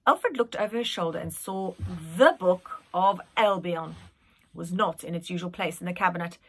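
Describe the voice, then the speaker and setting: A woman reading a children's story aloud in an expressive, dramatic manner. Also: on the low side, moderate pace, slightly rough. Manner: animated, dramatic.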